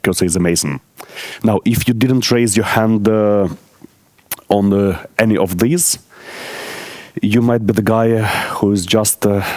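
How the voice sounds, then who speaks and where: A man from Ukraine in his thirties giving a conference talk on stage, speaking haltingly with many filler pauses and friendly asides to the audience. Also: deep voice, a little mellow, no echo.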